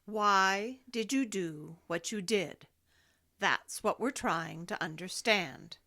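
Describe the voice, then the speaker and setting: Mysterious interrogator female actor: mid-pitched, unhurried, scornful, condescending, sinister horror radio delivery. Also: bright, forceful tone. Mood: scornful probing. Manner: deliberate, condescending, articulate. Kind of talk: audio horror drama acting.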